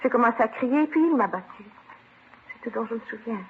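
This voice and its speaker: A frightened young French war bride in an old radio drama. She talks very fast in agitated bursts. The sound is narrow and muffled, with some hiss. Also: fairly high pitch, lively intonation. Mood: shaken.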